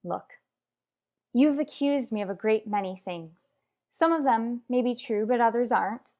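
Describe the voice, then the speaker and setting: A woman's voice: fairly high pitch, normal speed, mellow, close to an everyday voice. A woman playing a headstrong married mother in a radio soap, lecturing her rival bluntly and emphatically. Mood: blunt, insistent. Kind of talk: radio soap opera acting.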